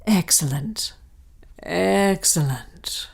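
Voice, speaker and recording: Scheming governess: prim, cooing, falsely sweet, unhurried, very animated intonation, melodrama style. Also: female voice, fairly low pitch, creaky, breathy edge, exaggerated voice. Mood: sly. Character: scheming governess feigning sweetness toward her charges. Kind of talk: melodramatic audio drama acting.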